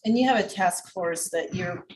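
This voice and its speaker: Lower-pitched woman questioning, noisy recording. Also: moderate pace. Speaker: school board member. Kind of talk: questioning at a public board meeting. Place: school board meeting room. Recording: clearly noisy meeting livestream with clicks.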